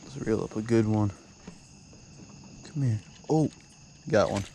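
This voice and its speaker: Young guy fishing off a dock at dusk, kinda low voice, chill and rambly, bugs and crickets loud behind him. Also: unhurried. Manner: laid-back, loose with fillers like "sorta" and "like". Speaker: fishing vlogger. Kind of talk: casual narration while fishing. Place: outdoors on a dock by the water, evening into night. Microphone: head-mounted action camera. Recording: outdoor noise, insects and crickets.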